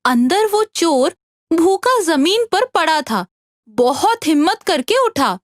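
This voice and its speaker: A woman narrating a scripted story for an animated tale. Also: very high-pitched, takes it slowly, no room sound, studio quality.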